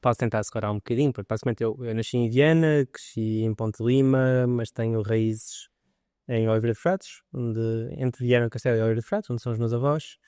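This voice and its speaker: A man's voice: medium pitch, steady tone, fairly quick, dark tone, smooth, dry recording, clean studio sound. A young man from Portugal, telling his story as a biologist guest on a podcast, eager and full of detail. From northern Portugal. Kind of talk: podcast interview.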